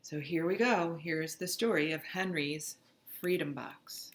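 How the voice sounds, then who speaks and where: A woman reading a children's picture book aloud in an expressive, dramatic narrating style. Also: low voice, unhurried. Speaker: storybook reader.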